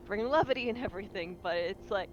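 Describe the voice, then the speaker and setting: A woman's voice: medium pitch, fast talker, highs cut off. A woman player in a tabletop roleplaying game, exasperated and stammering as she swears her way through the scene.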